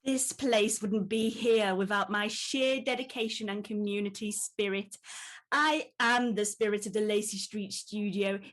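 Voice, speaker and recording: A woman's voice: medium pitch, fairly even intonation, a little slow, a bit bright, natural voice. A woman playing a self-important, put-upon boss with a haughty, long-suffering, melodramatic manner in a comedy drama. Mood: self-satisfied.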